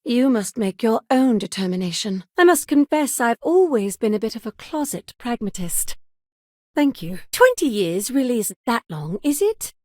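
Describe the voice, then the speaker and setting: A wonderstruck, courteous female scientist from a science fiction game. Her voice sits on the high side and her phrasing is formal and old-fashioned, earnest throughout. The sound is very dry, with no room around it. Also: sing-song, normal speed, a little mellow, no echo. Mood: thoughtful. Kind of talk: scripted video game dialogue.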